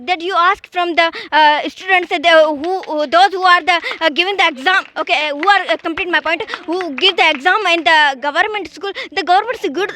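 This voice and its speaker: A woman's voice: extremely high pitch, sharp tone, no echo. A woman from Pakistan, debating in a classroom and talking hesitantly with many fillers and restarts. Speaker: debate participant.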